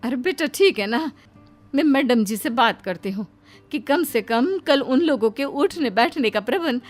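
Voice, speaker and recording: A woman's voice: high voice, expressive, measured pace, soft background music. A woman voicing a doting, humble middle-aged housemaid mother in an affectionate, homely, exclamatory cartoon-story way. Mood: humble, reassuring. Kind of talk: Hindi moral-story cartoon dialogue.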